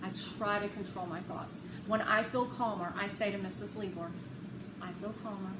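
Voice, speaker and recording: A woman narrating a children's novel aloud in an expressive storytelling style. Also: medium pitch, normal speed. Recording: echoey room with clear background noise.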